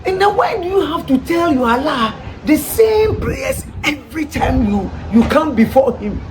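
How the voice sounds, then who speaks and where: A woman's voice: sits high, big pitch swings, normal speed. A woman with a Ghanaian accent preaching, firing off repeated rhetorical questions and laughing between them. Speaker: street preacher. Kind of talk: preaching to listeners. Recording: noisy ambient background.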